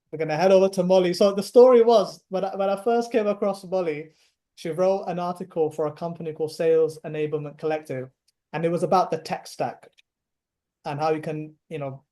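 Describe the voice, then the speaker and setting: A man hosting an online chat in a somewhat high but mellow voice. He stumbles over his words, repeating himself, and keeps the tone light and joking. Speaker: host. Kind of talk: hosting a webinar fireside chat.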